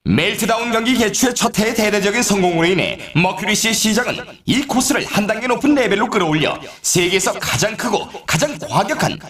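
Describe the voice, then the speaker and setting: An actor playing an excitable, theatrical male announcer at an extreme snowboarding event in a video game, delivering scripted hype with showy exclamations. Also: sits high, fairly quick, hardly any pauses, reverberant. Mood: excited, celebratory.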